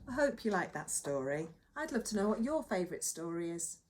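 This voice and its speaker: A woman reading a children's story aloud as a nursery teacher, with dramatic emphasis and hushed moments. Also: fairly low pitch, very animated, fairly quick, slight background noise.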